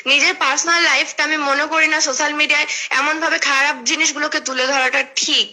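A young woman talks casually on a phone call, explaining herself earnestly and somewhat haltingly. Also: high-pitched, steady tone, bright, forceful tone. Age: young adult.

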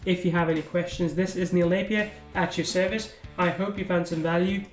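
High-voiced man touring software pages. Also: fairly even intonation, brisk, roomy sound, faint music behind. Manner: casual, thinking aloud while clicking through pages. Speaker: software reviewer. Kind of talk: screen-recorded product walkthrough.

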